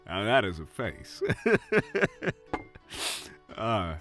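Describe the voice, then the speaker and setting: A man's voice: lowish voice, heavily acted. A man playing a friendly, chatty Old West saloon bartender with a hesitant, folksy way of talking. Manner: hesitant, folksy, soft-hearted. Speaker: voice actor. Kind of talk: audio roleplay acting addressed to the listener.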